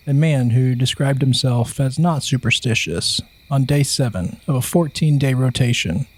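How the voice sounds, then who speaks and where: A man narrates a horror story from a script. His mid-pitched voice is heavily husky and breathy, and he keeps a measured, solemn delivery throughout.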